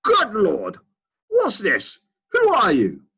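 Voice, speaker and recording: A man's voice: high voice, huge pitch swings, takes it slowly, gravelly, breathy edge, pitched above the performer's own voice, exaggerated voice. A man voicing a pompous, short-tempered old duke who bellows and splutters. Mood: astonished. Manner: blustering, shouting.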